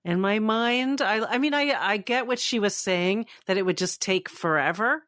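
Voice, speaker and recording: A woman's voice: mid-range voice, normal speed, a bit bright, no echo. A woman co-hosting a fan podcast, chatting informally and with enthusiasm. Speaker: podcast co-host. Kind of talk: casual fan podcast chat.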